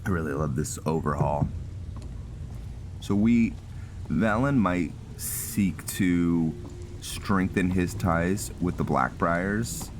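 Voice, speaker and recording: Deep-voiced, unhurried man doing gameplay commentary. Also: slight background noise. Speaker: gaming video host. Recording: clear game ambience behind voice. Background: game sounds.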